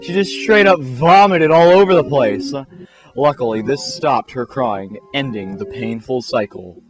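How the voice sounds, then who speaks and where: A man's voice: medium pitch, a little slow, rough recording. A man narrating a comic fan-fiction story in a theatrical, mock-serious way. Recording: music behind the voice.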